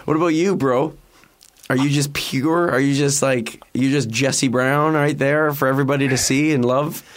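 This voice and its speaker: A man's voice: mid-range voice, fairly even intonation, moderate pace. A Canadian man, a musician guest, chatting casually in a rambling, joking way full of fillers and restarts. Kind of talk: casual conversational interview.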